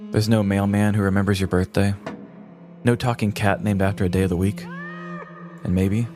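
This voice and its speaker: A man's voice: fairly low pitch, little pitch movement, moderate pace, dark tone, faint music behind. A man co-hosting a casual podcast, chatting and then reading out a wry personal essay in a dry storytelling manner. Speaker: podcast co-host. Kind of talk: casual chat and read-aloud essay.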